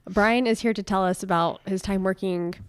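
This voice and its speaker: A woman hosting a podcast from her home, chatty and upbeat, her voice a little raspy after a cold. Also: mid-range voice, fairly even intonation, moderate pace, no echo. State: recovering from a cold. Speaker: podcast host. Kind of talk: casual podcast chat.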